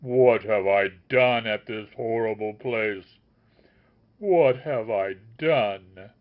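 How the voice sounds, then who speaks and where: A man voicing an obsessive male seeker of truth who shouts a scornful tirade, on a dull lo-fi recording. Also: on the low side, slow pace. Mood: anguished.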